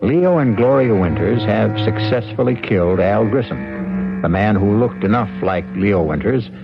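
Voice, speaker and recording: A man hosting a 1970s radio mystery, reading scripted narration in a measured, wry, ominous manner. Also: on the low side, lively intonation. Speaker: host and narrator. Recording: dull old radio broadcast. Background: loud dramatic music.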